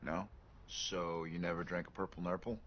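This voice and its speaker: A man's voice: low-pitched, flat delivery, normal speed, a bit bright, muffled recording, a little hiss or hum. An actor playing an earnest, exasperated young male monster hunter in a TV drama. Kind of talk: TV drama acting.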